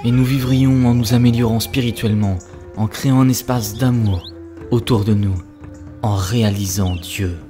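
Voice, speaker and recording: A man's voice: medium pitch, fairly even intonation, normal speed, music in the background, close to an everyday voice. A man playing an earnest, devoted young spiritual seeker in love, in solemn cartoon dub dialogue. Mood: earnest, preaching.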